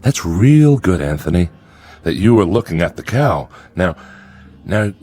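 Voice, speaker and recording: An actor playing a nervous farmer father in a radio drama, folksy and halting, placating with false joviality. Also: low voice, big pitch swings, dark tone, full character voice.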